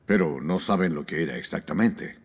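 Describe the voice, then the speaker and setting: A man in his fifties dubbing a calm, guarded father figure in a TV drama, speaking low and measured. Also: husky, no room sound. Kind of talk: Spanish dub of a TV drama.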